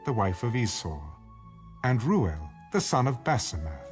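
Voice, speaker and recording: A man giving a formal, measured scripted narration of scripture, as in an audio Bible. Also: fairly low pitch, big pitch swings. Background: background music.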